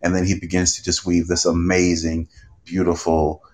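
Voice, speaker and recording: A man's voice: sits low, monotone, normal speed, a little mellow. A man speaking on a live panel in an earnest, reflective way, telling stories with emphasis. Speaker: podcast host and panel guest. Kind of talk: live panel talk, storytelling.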